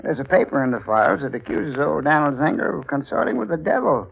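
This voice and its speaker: An actor playing a folksy, amused older small-town official in a 1940s radio drama, with a creaky voice and a hemming manner. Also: male voice, on the high side, sing-song, exaggerated voice. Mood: amused, gossipy. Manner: folksy, chuckling, unhurried hemming. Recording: muffled, faintly noisy 1940s radio recording.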